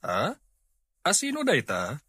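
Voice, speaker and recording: A kindly, brooding middle-aged father in an Ilocano radio drama. His voice is husky and bright with lively swings in pitch, and he has an Ilocano Filipino accent. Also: slow, dry recording, full character voice. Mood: obliging.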